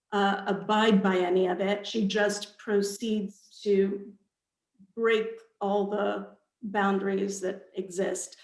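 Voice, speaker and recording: Woman, author on a panel, unhurried pace, echoey video call, thoughtful and halting. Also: medium pitch. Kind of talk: panel interview answers about own book.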